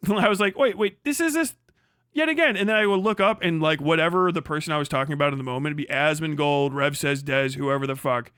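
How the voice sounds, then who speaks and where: A young man streams from home, reacting to what is on his screen with sardonic, profane disbelief and frequent laughter. His voice sits a little high and sounds bright and forceful. The sound is close and dry. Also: a little fast, clean tone, no room sound. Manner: sardonic, swearing, laughing often, incredulous outbursts. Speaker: streamer host. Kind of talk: casual live-stream commentary.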